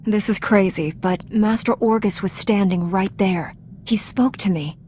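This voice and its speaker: A calm, earnest Jedi knight heroine from a video game, played by a woman. She speaks sincerely and with measure in a medium-pitched voice, with faint ambient noise behind. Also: a little slow, close to an everyday voice. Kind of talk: video game character acting.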